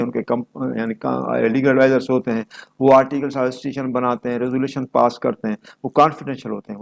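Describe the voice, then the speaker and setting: A man's voice: mid-range voice, a little mellow. A man with a Pakistani accent lecturing on law, explaining didactically and switching between two languages as he acts out examples. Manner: didactic, acting out courtroom exchanges as examples. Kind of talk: explanatory law lecture mixing Urdu and English.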